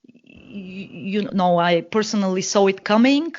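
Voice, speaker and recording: A woman's voice: medium pitch, expressive, a little slow, a little mellow. A woman from Bulgaria with a Bulgarian accent, speaking as a guest analyst on a podcast and talking with many fillers and restarts. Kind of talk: podcast interview, explaining. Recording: dull lo-fi call audio.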